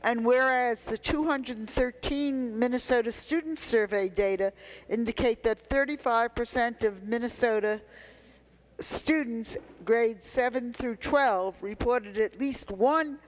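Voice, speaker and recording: A fairly high voice from a woman in her seventies. She reads a resolution formally, as a legislator on the floor. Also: measured pace, low-quality recording. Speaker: state legislator. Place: large indoor legislative chamber. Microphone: handheld floor microphone held close.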